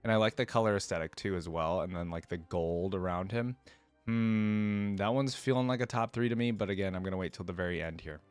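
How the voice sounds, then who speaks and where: Man, low voice, dry close recording, casual reaction commentary, enthusiastic, slangy. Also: fairly quick, clear, resonant tone, dry recording, faint music behind. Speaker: reaction video host.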